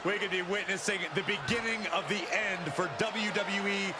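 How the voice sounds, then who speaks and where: A man's voice: high-pitched, steady tone, moderate pace, close to an everyday voice. A man playing a dismayed, disbelieving wrestling commentator in a video game broadcast. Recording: loud arena noise behind commentary.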